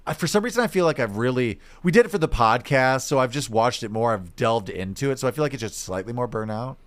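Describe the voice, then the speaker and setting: A man's voice: medium pitch, animated, fast talker. A man with a mid-range voice chats casually as a podcast co-host. His intonation swings widely, and he often stops and starts while he hunts for the right word.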